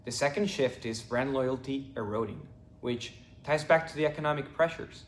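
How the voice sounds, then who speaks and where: A young man from Ecuador, a finance student, giving a prepared class presentation at a steady pace with the odd stumble. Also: mid-range voice, moderate pace, roomy sound, slight background noise. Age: young adult.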